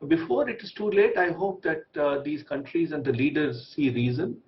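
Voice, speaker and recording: A man comments as an expert on a news debate. His voice sits a little high and he speaks in halting, deliberate phrases. The sound is slightly muffled. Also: animated. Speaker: TV debate guest, former ambassador.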